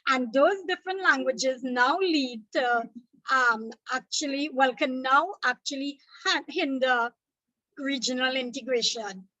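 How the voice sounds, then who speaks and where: A woman's voice: high voice, measured pace, sharp tone, no echo, highs cut off. A woman from Trinidad and Tobago with a Trinidadian accent, teaching an exam revision lecture, explaining points step by step and checking in with "okay?".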